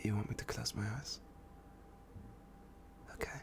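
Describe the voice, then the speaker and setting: A man playing an obsessed, adoring stalker in a boyfriend ASMR roleplay, with a breathy, husky voice and a halting, pleading, worshipful manner. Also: background noise, natural voice. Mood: tender awe. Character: obsessed, adoring young stalker smitten with a killer.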